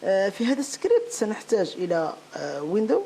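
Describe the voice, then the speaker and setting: A woman giving an unscripted step-by-step software tutorial, halting and repeating phrases as she searches for words. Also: medium pitch, big pitch swings. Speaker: programming tutorial instructor. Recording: clearly noisy home-made recording.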